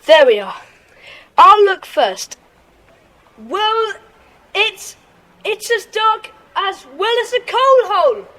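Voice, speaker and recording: A woman playing a brisk, commanding schoolboy leader of a detective gang in a children's audio drama. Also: very high voice, big pitch swings, sharp tone, heavily acted, rough recording. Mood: curious, decisive.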